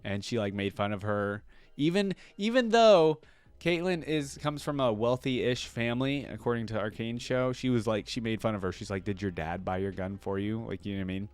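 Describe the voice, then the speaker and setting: A man's voice: on the low side, moderate pace, clean tone, no echo. A young adult man hosting casual reaction commentary, talking in an informal, rambling fan style. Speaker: YouTube reaction host.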